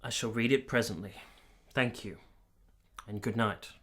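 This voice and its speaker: An actor playing an earnest, reflective nineteenth-century gentleman in a radio drama, with measured, formal phrasing. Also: fairly low pitch, noisy background, close to an everyday voice. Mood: gentle, courteous. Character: earnest, reflective gentleman of 1870s Italy, troubled lover. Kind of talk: radio drama dialogue and first-person narration.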